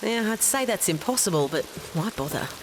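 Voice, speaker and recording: A woman playing a cool, sharp-tongued and sceptical treasure hunter in an audio drama, with sardonic delivery. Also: medium pitch, animated, a little fast, noisy background, close to an everyday voice.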